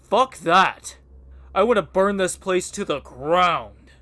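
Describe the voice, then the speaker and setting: A man plays a bitter, hot-headed young man in a horror audio drama. He speaks slowly with big swings in pitch, sardonic and exasperated, recorded very dry. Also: lively intonation, bright, forceful tone, no room sound, heavily acted. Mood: angry, fed up. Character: Rich, angry foul-mouthed grieving young man.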